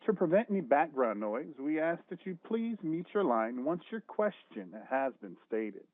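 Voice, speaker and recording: A man's voice: a little high, big pitch swings, normal speed, no echo. A man working as a conference operator, formally reading routine instructions over a phone line. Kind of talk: scripted call instructions. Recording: thin, dry phone line.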